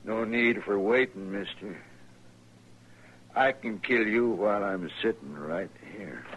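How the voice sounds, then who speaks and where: Slow-talking, swaggering western outlaw. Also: male voice, on the low side, noisy background. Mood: menacing, mock-polite. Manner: mock-polite, taunting, folksy drawl-like phrasing. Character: swaggering, menacing Old West outlaw gunman, big talker. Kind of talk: old-time western radio drama acting. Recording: dull old radio recording, crowd murmur.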